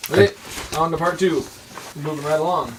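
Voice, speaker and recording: A man's voice: a little high, steady tone, a little fast, background noise. A man chatting casually between takes in a studio. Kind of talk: casual studio outtake chat.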